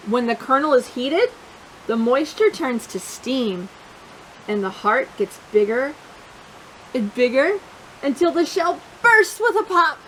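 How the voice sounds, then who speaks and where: A high-pitched woman reads a children's book aloud with very lively, swooping intonation. She stresses and stretches key words and chats to young listeners in asides. A faint-to-clear hiss runs under the recording. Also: poor audio. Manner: expressive, emphatic, drawing out key words. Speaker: children's storytime reader.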